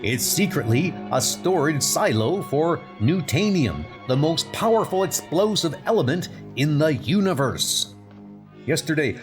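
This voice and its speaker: A man voicing an alarmed official of a futuristic city in a comic dub, explaining things urgently. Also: fairly high pitch.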